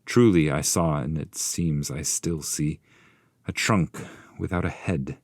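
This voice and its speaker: A man narrating epic poetry from a script in a measured, solemn manner. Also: very deep voice, darkish tone, no room sound. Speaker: translator and narrator.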